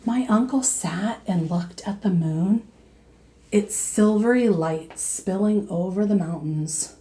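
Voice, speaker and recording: A woman teacher reading a storybook aloud to children in an expressive manner. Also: mid-range voice, low-quality recording. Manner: slow, expressive, addressing young listeners.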